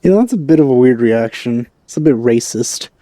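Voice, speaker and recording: A man giving casual, low-key, wry gameplay commentary as a let's play host. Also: lively intonation, a little fast, dark tone, no echo.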